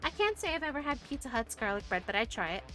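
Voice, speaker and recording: A woman's voice: a little high, very animated, fast, fairly bright tone, faint music behind. A woman from the United States streaming and chatting casually with viewers, talking with lots of fillers and little laughs. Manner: chatty, giggly, many fillers and restarts. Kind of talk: casual chat reading viewer messages.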